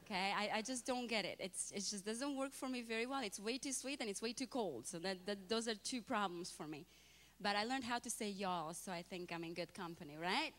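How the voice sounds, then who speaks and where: A woman's voice: medium pitch, fairly quick, fairly bright tone. A woman in her thirties from Romania, speaking with a Romanian accent, shares her personal testimony at a church and often checks in with the audience. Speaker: guest speaker. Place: church sanctuary.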